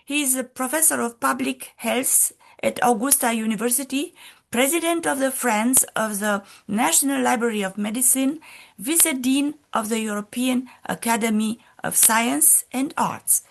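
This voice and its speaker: A woman chairing a colloquium over a video call, slowly and carefully reading out a speaker's introduction with slightly non-native phrasing. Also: on the high side, vocal fry, a little hiss or hum.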